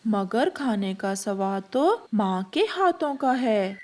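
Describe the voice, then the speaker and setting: A woman's voice: mid-range voice, animated, slow pace, a little mellow, voice pushed down. A woman voicing an anxious, apologetic young male bird, a devoted son, in a cartoon story. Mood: caring. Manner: apologetic, hesitant.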